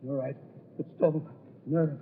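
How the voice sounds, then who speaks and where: A nervous, guilty swindler of a bridegroom played by a man, pitched a little high. He blusters and frets with full vintage radio horror melodrama. The old recording is somewhat dull, with light noise behind the voice. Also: fairly even intonation, a little slow, natural voice. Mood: anguished, reluctant.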